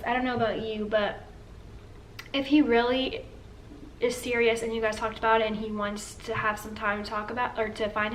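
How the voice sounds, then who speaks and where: A woman's voice: fairly high pitch, a bit bright. A young woman co-hosting a casual Q&A with her boyfriend, chatty and earnest, often saying "like.". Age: young adult. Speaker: co-host. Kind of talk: casual couple Q&A chat. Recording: slightly distant with faint room noise.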